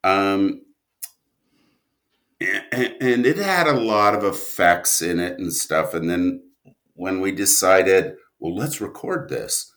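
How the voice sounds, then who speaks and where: A man with a very low voice talks through his work in a reflective interview. His delivery is slow and stop-and-start, yet the intonation moves widely, with drawn-out stresses on key words. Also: expressive, fairly bright tone. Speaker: guest writer and producer of audio drama.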